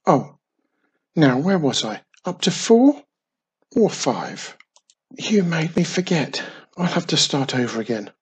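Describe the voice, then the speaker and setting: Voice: mid-range voice, sing-song, slow pace, long pauses, husky, exaggerated voice. Storyteller putting on a fussy, mischievous boy wizard. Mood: flustered. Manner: earnest, fussy. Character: busy, mischievous, self-important young wizard boy.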